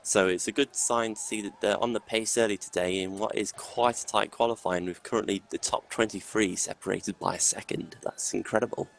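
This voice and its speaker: Deep-voiced male co-commentator, slightly worn voice. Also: fairly even intonation, brisk, vocal fry, few pauses, rough recording. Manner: chatty, conversational, laughs easily. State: losing his voice. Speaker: sim racing co-commentator. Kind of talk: live race commentary.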